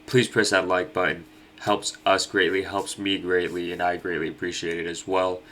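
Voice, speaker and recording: Chill young guy, stuffy nasal voice, low pitch but bright tone, um-heavy. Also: level tone, normal speed, a little hiss or hum. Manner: laid-back, many ums and uhs. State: nasal from allergies. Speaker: fantasy sports host. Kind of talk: casual picks breakdown.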